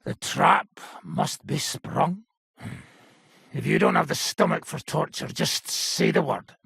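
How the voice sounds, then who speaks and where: Raspy, swaggering medieval mercenary captain. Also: male voice, on the high side, expressive, slow, a bit bright, no room sound, studio quality, full character voice. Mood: sardonic, rough. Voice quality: raspy, gravelly. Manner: blunt, swaggering, earthy swearing. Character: gruff, foul-mouthed mercenary nobleman captain, cynical and cocky. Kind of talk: video game character acting. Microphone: close, dry studio microphone.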